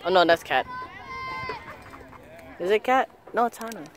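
A woman's voice: medium pitch, lively intonation, unhurried. A young woman filming a girls' soccer match on her phone, chatting casually and cheering players on from the sideline. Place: outdoor soccer field sideline. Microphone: phone camera held by the speaker. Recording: phone recording with crowd noise.

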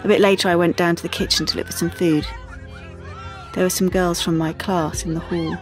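A rather low-voiced teenage girl played by a woman, sensitive and halting, confides her story in an audio play, with music faintly behind her. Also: flat delivery, a faint crowd, natural voice. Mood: wistful. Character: sensitive teenage art student, shaken and confiding. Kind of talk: dramatic monologue in audio play.

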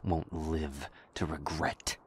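A man playing an imperious, ruthless king in an audio drama, giving clipped, forceful commands with unyielding anger. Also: very deep voice, little pitch movement, slow, slight background noise. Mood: stern command.

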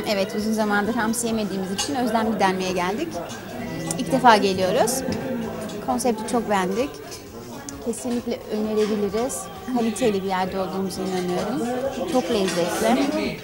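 A woman's voice: medium pitch, fairly quick. A woman in her thirties answering an interviewer as a diner in a restaurant, on a handheld microphone. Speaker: restaurant customer being interviewed. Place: restaurant dining room. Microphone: handheld reporter microphone. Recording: loud music and crowd chatter.

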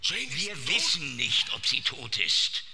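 A man's voice: medium pitch, very animated, takes it slowly, creaky, full character voice. A man playing a tense, urgent investigator in a horror radio drama. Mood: tense, grave. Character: anxious man investigating a missing woman. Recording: loud music under dramatic dialogue.

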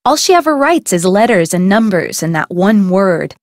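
Gossipy small-town cafe owner, folksy and opinionated for a game; dry studio take. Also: female voice, mid-range voice, sing-song, normal speed, no echo. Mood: chatty. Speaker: game character. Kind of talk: video game voice acting.

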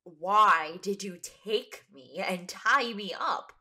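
Woman playing a slow-spoken, wary explorer. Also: mid-range voice, bright, forceful tone, heavily acted. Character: wary, insistent woman explorer searching for answers. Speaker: lead actor in audio drama. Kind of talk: audio drama acting.

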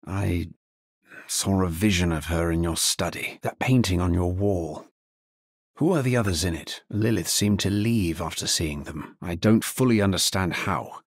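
An actor playing a grave, solemn necromancer hero in a fantasy video game, speaking in a measured, formal manner. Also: male voice, low-pitched, dry recording, clean studio sound. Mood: grave, restrained. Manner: measured, somber, formal delivery. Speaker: video game player character. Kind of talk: scripted fantasy game voice lines.

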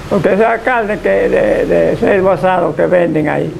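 An elderly man with a Dominican accent and a gravelly voice, slowly recalling his life in a living-room interview, often repeating and restarting his words. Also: sits high, background noise. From Dominican Republic. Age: older. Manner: halting, repeats and restarts words, short assents. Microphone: lapel mic.

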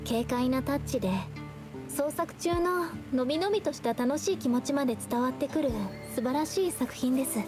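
Woman as a high-voiced, gentle young artist. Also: normal speed, natural voice. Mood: polite. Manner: soft-spoken, polite, earnest. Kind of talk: anime-style game voice acting. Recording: game music behind the voice.

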